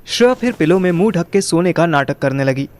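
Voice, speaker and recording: A man's voice: on the high side, expressive, brisk, a little mellow. A young man narrating a first-person audio drama in colloquial Hindi mixed with English words. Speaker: narrator and lead character.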